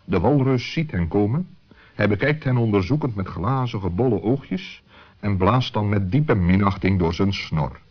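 A man's voice: lowish voice, expressive, a little slow, breathy edge. A man narrating a scripted children's story as the storyteller. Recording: muffled old sixties recording.

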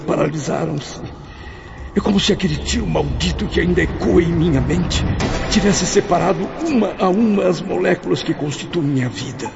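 A man voicing a tormented gravelly spirit. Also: on the high side, background noise. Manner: solemn, pained first-person narration. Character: tormented male suicide spirit recalling his death. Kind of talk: radio drama narration. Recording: dull audio with music behind.

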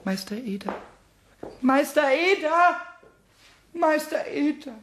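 A man playing a small childlike kobold in a children's radio play, squeaky and pleading, with halting, emotional speech. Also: animated, a bit bright, exaggerated voice. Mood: contrite, tearful.

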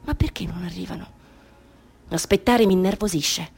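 A woman's voice: on the low side, normal speed, creaky, a little mellow, husky, faint music behind, heavily acted. A woman playing a bored, capricious schoolgirl in a radio drama monologue, musing in a girlish, petulant manner. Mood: bored, impatient.

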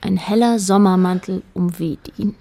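A woman narrating a literary radio play in a measured, precise manner. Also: lowish voice, mellow.

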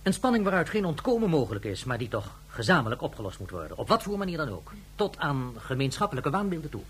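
An actor playing a formal, earnest official in a science-fiction radio drama. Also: male voice, on the high side, very animated, fast talker, husky. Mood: earnest, explaining. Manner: formal, earnest, rhetorical questioning. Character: grave, formal, measured official on a space station. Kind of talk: Dutch radio drama acting.

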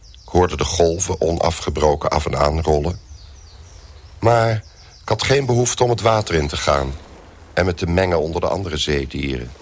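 A man's voice: a bass voice, slightly rough, heavily acted. An actor playing a dazed, lonely, dying man turned into a lobster, narrating in a brooding monologue for a radio play. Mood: forlorn. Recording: faint background noise.

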